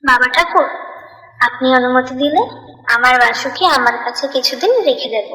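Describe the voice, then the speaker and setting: Woman acting a bright, high-voiced devout housewife. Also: normal speed. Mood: respectful, earnest. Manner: respectful, pleading, earnest. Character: humble, devout village housewife welcoming holy men. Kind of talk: devotional cartoon dialogue. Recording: echoey, long reverb.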